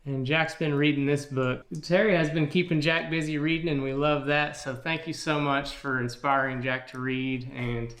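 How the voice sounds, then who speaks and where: Low-pitched woman, casual family vlog chat, roomy echoing sound. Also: fairly even intonation, normal speed, hardly any pauses. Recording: roomy echo, noisy background, clicks.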